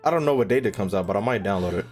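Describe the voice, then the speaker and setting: A man's voice: lowish voice, lively intonation, very fast, a little mellow, soft background music. A man doing casual, slangy, joking live commentary as he streams a game.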